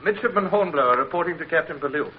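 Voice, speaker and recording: A man's voice: on the high side, lively intonation, quick pace, slightly rough. An actor playing an earnest young British midshipman in an old radio drama, speaking in a dramatic, clipped way. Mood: deferential. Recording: dull vintage radio recording, loud music.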